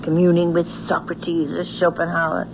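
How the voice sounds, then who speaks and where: An actress playing a loving, placating young fiancée and professor's daughter in an old-time radio drama. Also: female voice, lowish voice, completely flat delivery, normal speed, creaky. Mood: teasing. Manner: soothing, affectionate. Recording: muffled, noisy old radio recording.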